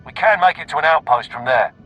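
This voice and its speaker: A man's voice: fairly high pitch, expressive, fast, highs cut off, faint music behind, natural voice. An actor playing a dutiful, alert male guard commander in a video game, with barked, formal military delivery. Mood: resolute. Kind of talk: video game cutscene acting.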